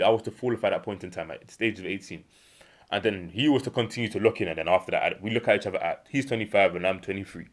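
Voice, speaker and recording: A young man with a British accent talks quickly and earnestly, hammering home his points by repeating them. His voice sits a little low, and he slips into short imagined conversations to make his case. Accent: British English. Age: young adult. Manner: earnest, emphatic, repeats key phrases; acts out imagined dialogue in a lower voice. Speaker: self-improvement vlogger. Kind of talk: unscripted motivational monologue.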